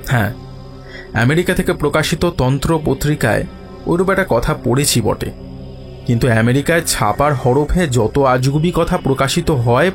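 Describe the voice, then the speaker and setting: Man acting a calm, dryly ironic detective over music. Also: medium pitch, close to an everyday voice. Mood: sceptical, probing. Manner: measured, reasoning, dryly ironic. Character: calm, sharp, self-assured male detective, sceptical and unflappable. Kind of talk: dramatised Bengali detective audio story. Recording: music behind the voice.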